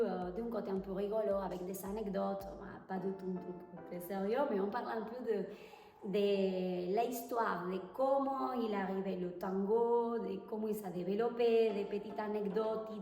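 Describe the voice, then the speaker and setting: A woman from Argentina with an Argentine Spanish accent and Spanish as her first language, answering interview questions hesitantly and pausing to find her words. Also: mid-range voice, a little mellow. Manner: hesitant, pauses to search for French words. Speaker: tango teacher, interview guest. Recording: echoey hall, far from the mic.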